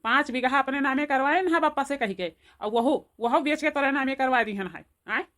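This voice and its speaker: Woman voicing a high, angry Awadhi village man. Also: normal speed, fairly bright tone. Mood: indignant. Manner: hectoring, repetitive. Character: angry, threatening village man betrayed by his lover. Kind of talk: amateur cartoon voice acting.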